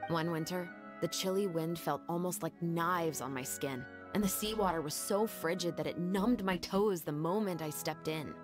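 A woman voicing a stoic young patrol captain in a game, speaking in a calm, measured, reserved way. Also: lowish voice, close to an everyday voice. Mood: solemn. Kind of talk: anime game dub. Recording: game audio under music.

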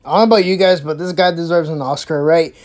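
A woman's voice: fairly even intonation, fast, heavy background noise. A woman hosting a mocking reaction commentary, sarcastic and crude, swearing freely and stumbling over restarts. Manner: sarcastic, swears a lot, mimics in squeaky voices. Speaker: host.

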